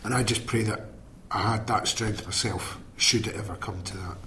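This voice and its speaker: Low-voiced man over rumbling noise. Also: fairly even intonation, normal speed, pushed and bright. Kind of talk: personal reflection in an interview.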